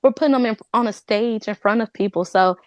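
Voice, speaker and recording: A woman's voice: a little high, a little fast, dry recording. A woman speaking as an interview guest in an informal, conversational way, peppering her talk with "like". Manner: informal, says "like" often. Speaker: cast member, interview guest. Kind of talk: casual conversational chat.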